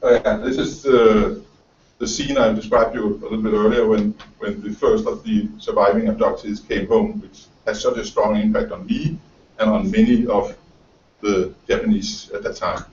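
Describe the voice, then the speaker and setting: A man's voice: lowish voice, fairly even intonation, darkish tone, slight background noise. Danish man lecturing, distant and roomy. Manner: halting, many fillers and repeated words. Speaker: journalist and author giving a lecture. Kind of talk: lecture talk reading from notes. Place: college lecture room. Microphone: far camera. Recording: roomy, distant, dull camera audio.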